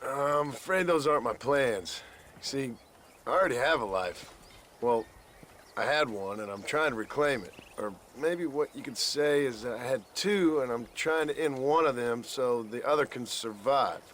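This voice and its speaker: A man's voice: mid-range voice, moderate pace, a bit bright, a little hiss or hum, natural voice. A weary former outlaw cowboy from a western video game, played by a male actor. He speaks in an American accent with dry, guarded courtesy and understatement, over faint outdoor ambience. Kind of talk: video game character acting.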